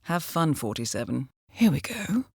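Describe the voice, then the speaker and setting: An actress playing a composed, dryly witty intelligence handler in a video game, briefing an assassin in a formal, understated, ironic manner. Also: female voice, low voice, big pitch swings, normal speed, creaky, darkish tone, slightly rough, no echo, studio quality, full character voice. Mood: composed, businesslike. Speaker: mission handler.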